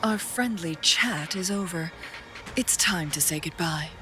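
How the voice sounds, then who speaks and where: Woman playing a slow, poised warrior strategist. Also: on the low side, over music, natural voice. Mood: cool confidence. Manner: composed, elegant, commanding. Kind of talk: dramatic video game acting.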